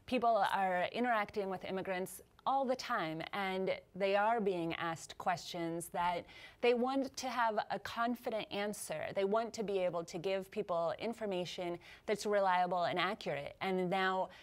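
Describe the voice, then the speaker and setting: Upbeat woman in her thirties, policy guest explaining clearly on a studio lapel mic. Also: medium pitch. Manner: upbeat, explanatory, quick to agree. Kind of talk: studio interview. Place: TV news studio.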